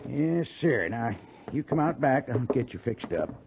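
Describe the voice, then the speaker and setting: A man's voice: medium pitch, big pitch swings, measured pace, creaky. A man playing a folksy, sly frontier stagecoach attendant with an American Western drawl in a 1950s radio western. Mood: reassuring, salesmanlike. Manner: drawling, garrulous, salesmanlike. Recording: muffled, hissy 1950s radio recording.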